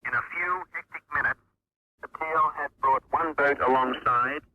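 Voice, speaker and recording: A man's voice: on the high side, moderate pace, dry recording. A man giving a scripted news report in an old radio style. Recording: dry, dull vintage radio line.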